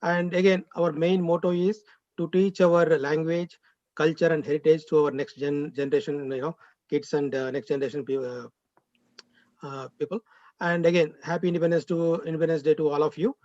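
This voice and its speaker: A man's voice: on the high side, level tone, fairly quick, darkish tone, dry recording. A man, a school director giving a hesitant event speech over a video call. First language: Telugu. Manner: hesitant, many fillers. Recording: dull, dry video call audio.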